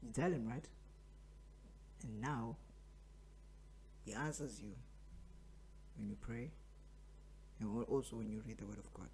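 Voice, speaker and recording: A man's voice: mid-range voice, measured pace, pauses often, darkish tone, slight background noise. A man of twenty-three, hosting a podcast as a casual motivational monologue and repeating phrases for emphasis. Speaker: podcast host.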